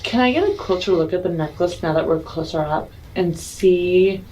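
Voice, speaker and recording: A woman with a very animated, swooping voice plays a tabletop role-playing game in casual chat. There is some background noise around her. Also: lowish voice, normal speed, rough recording.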